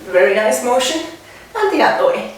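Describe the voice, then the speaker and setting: A woman from Finland with a Finnish accent, leading an exercise demonstration as a dance and fitness instructor, speaking haltingly in short phrases with pauses. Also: a little high, sing-song. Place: indoors at home, away from the mic. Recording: echoey room, distant mic, faint noise.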